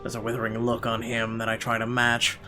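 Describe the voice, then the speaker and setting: A man reading horror visual novel narration aloud as a let's player, in an expressive, dramatic storytelling style. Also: mid-range voice, brisk, over music.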